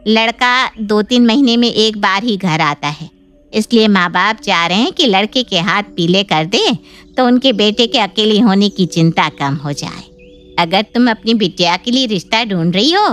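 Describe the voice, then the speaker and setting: A woman's voice: mid-range voice, a bit bright. A woman voicing a plain-spoken elder female relative, a matter-of-fact matchmaking aunt in a cartoon story.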